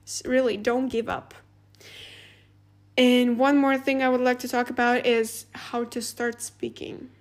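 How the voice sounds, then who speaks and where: Woman, high voice, chatty podcast host, laughs a lot, says like all the time. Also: unhurried. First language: Russian. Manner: chatty, animated, laughs often, many "like" fillers. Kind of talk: casual advice monologue.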